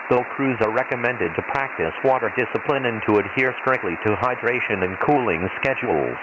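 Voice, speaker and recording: Guy doing a desert planet weather radio announcer, kinda low voice, formal and impassive, lots of static hiss on the radio. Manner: formal, measured, impersonal. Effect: radio transmission filter. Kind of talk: scripted emergency weather radio bulletin. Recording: hissy, noisy shortwave-style radio broadcast.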